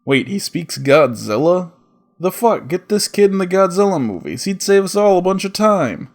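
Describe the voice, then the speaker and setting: A man's voice: high voice, very animated, moderate pace, vocal fry. A man narrating a scripted comedic cartoon review as the host, with a sarcastic, profane delivery.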